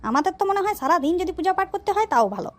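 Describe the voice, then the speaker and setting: A performer with a high voice playing an impatient, self-important male village priest with a rural West Bengal accent in a comedy cartoon. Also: lively intonation, very fast, a crowd in the background.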